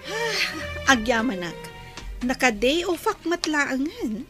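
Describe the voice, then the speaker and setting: An actress playing a friendly, generous younger sister in a radio drama. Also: female voice, high-pitched, sing-song, takes it slowly, a bit bright, soft background music, heavily acted. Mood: cheerful. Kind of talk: Ilocano radio drama acting.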